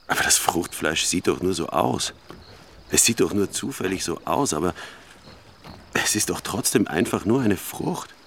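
A blunt, rational companion in a radio drama, with a very deep, gravelly voice. His delivery is animated and insistent, and faint music lies behind it. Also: normal speed, a little hiss or hum. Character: matter-of-fact, prodding male travel companion. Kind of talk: German radio drama acting.

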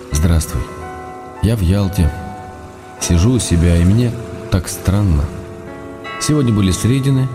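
Low-voiced tender letter-writing husband. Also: male voice. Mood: tender, wry. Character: tender, wry ailing writer husband writing love letters. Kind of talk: intimate dramatic letter reading for radio. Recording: music behind the voice.

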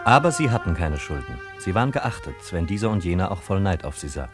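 Low, animated male radio narrator. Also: fast, over loud music. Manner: formal, literary. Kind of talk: scripted radio drama narration.

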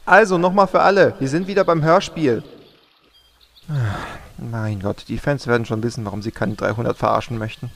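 A man's voice: mid-range voice, brisk, creaky, fairly bright tone, long reverb tail. A man playing a bossy, harried film producer in a German comedy audio drama, announcing with exasperation. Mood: weary, exasperated.

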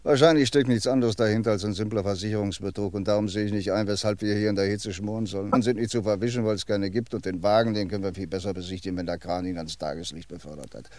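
A man's voice: on the low side, fairly even intonation, darkish tone, no room sound, lightly acted. A man playing a sardonic, weary police commissioner in a 1950s radio play. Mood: dry. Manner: dry, sardonic, unhurried.

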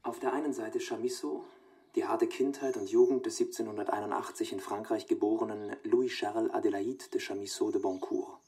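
An actor playing a studious young man dictating research notes in a radio drama, clipped, analytical and deliberate. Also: lowish voice, fairly even intonation, dark tone, husky.

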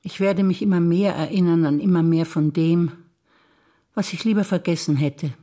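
An older woman, an actress reciting memories in a quiet, measured way on stage. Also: on the low side.

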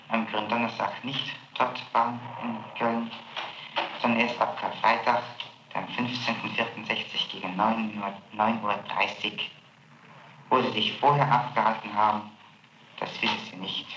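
A man serving as a prosecutor in an interrogation, speaking formally and haltingly with many fillers and tag questions, heard on an old archival tape. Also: mid-range voice, fairly even intonation, bright, forceful tone. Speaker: public prosecutor questioning a suspect. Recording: echoey muffled old tape with hiss.

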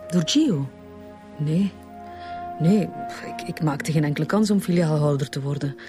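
Earnest Flemish bank employee, formal, hesitant, radio drama, music underneath. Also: female voice, low voice, measured pace. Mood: indignant. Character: proper, earnest female bank branch deputy. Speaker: bank deputy manager. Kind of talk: naturalistic radio drama dialogue.

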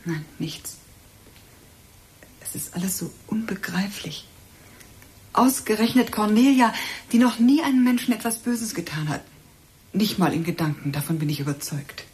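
A woman's voice: medium pitch, animated, moderate pace, long pauses, darkish tone, slightly rough. A woman playing an anxious, considerate hostess with a conciliatory manner in a radio drama. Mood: troubled.

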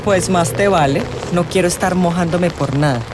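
A woman's voice: lowish voice, moderate pace, music in the background, natural voice. An actress playing a wry, teasing female fortune-teller companion in a radio drama, with a knowing manner.

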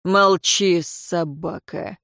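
A woman voicing an irritable highborn lord in a fantasy audiobook, harsh and commanding, snarling out orders. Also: fairly even intonation, a little slow, dry recording, clean studio sound, natural voice. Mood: grim. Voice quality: hoarse. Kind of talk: dramatic fantasy audiobook character.

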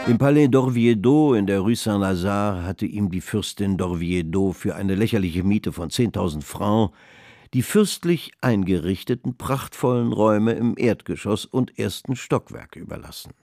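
A man narrating a historical radio drama in measured literary prose. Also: fairly low pitch, dark tone, no room sound, studio quality, lightly acted. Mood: measured narration. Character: measured male narrator of a 19th-century finance saga.

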